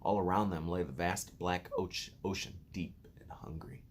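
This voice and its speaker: Low-voiced man, encouraging teacher reading aloud. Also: fairly even intonation, moderate pace, slight background noise. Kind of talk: casual chat and storybook read-aloud.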